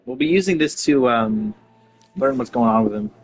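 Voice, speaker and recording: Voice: medium pitch, animated, moderate pace, faint music behind. A game streamer giving casual gameplay commentary, musing aloud and reacting in slang.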